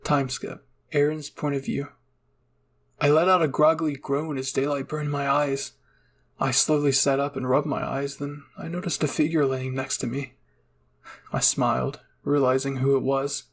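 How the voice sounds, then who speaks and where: A man narrating first-person fan fiction as a roleplay reading, in a soft, dramatic storytelling manner. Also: medium pitch, little pitch movement, moderate pace.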